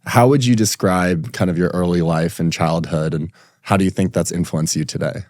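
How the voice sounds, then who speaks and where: A man's voice: low-pitched, normal speed, a little mellow, no echo, studio quality. A man hosting a podcast, asking curious, casual questions and often restarting them. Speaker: podcast host.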